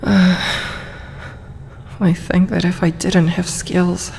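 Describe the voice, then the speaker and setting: A woman voicing a proud female dragon who turns shy and flustered in a hesitant ASMR roleplay, often slipping into breathy whispers. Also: lowish voice, flat delivery, slow pace, a little mellow, in a large hall, a little hiss or hum. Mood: bashful, confessional.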